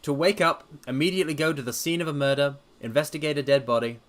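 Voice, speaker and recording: A man's voice: fairly high pitch, quick pace, fairly bright tone. A man giving a dramatic story reading as a streamer, with a theatrical, grandiose way of talking to listeners. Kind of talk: dramatic scripted narration with theatrical asides.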